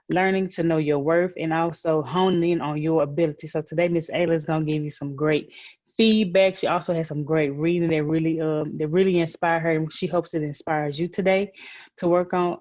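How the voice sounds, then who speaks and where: A woman's voice: low-pitched, darkish tone, dry recording. Woman, encouraging program host, announcer-like introductions, dry close sound, slightly dull online audio. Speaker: host of a girls' group virtual story time. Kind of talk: hosting an online youth program.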